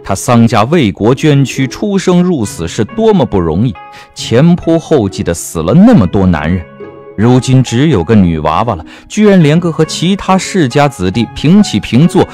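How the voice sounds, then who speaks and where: Male audiobook narrator, wry, theatrical, animated intonation, soft-edged tone, faint music bed. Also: mid-range voice, normal speed. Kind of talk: expressive scripted narration of a comic period novel.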